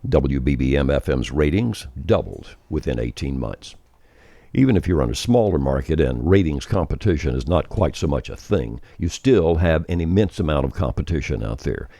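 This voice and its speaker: Older male radio veteran, crackly and expressive, dry close sound, emphatic folksy explainer. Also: very deep voice, normal speed, gravelly, no echo. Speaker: veteran radio programmer and former disc jockey presenting a series. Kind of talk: informal instructional monologue.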